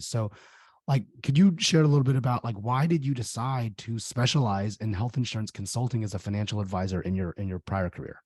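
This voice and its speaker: A man hosting a podcast interview, his voice hoarse, speaking in a friendly, easygoing way. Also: medium pitch, darkish tone, no room sound. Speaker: podcast host.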